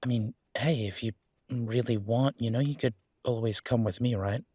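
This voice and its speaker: An easygoing werewolf boyfriend from an audio roleplay, acted by a man. He has a mid-pitched male voice and chats casually, with a playful, teasing and slightly vain manner. The sound is dry, with no room on it. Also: normal speed, a little mellow, husky, dry recording, close to an everyday voice. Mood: easygoing, inviting.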